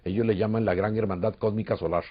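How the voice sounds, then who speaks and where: Husky low-voiced Costa Rican man. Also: steady tone, fast talker. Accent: Costa Rican Spanish. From Costa Rica. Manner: earnest, rambling storyteller with hesitations. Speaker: interviewee, UFO contactee. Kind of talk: recorded interview. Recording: dull lo-fi recording.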